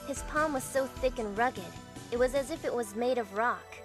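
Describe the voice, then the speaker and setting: Woman acting as young bewildered heroine narrating a visual novel fandub, highish voice, loud music under. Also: moderate pace, lightly acted. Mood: introspective.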